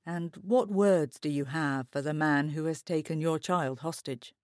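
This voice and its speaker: An actress playing a composed, grave news anchor in an audio drama, presenting in a formal, measured way. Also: female voice, low voice, a little mellow, dry recording, studio quality. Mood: solemn.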